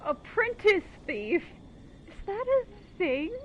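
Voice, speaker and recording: Playful, high-voiced woman gamer. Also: big pitch swings, slow pace, phone-quality sound, a little hiss or hum. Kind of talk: casual playthrough commentary. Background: game music.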